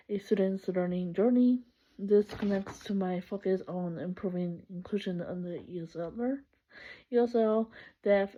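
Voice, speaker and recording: A young woman from El Salvador with a warm, mellow voice, chatting upbeat through a class introduction. She laughs often, fills gaps with "um," and corrects herself as she goes. The recording sounds a little dull and muffled. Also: mid-range voice.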